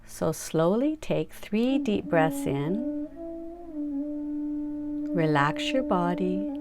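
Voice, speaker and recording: A woman leading a guided meditation, giving soft, unhurried instructions to relax and imagine. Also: lowish voice, big pitch swings. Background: music.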